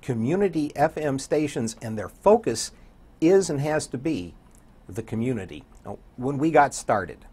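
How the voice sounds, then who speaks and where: An older man talking conversationally as an interview guest, a radio DJ with a husky voice. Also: mid-range voice, expressive, normal speed, warm tone. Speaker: guest, radio program director and morning show DJ. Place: indoor TV talk show studio.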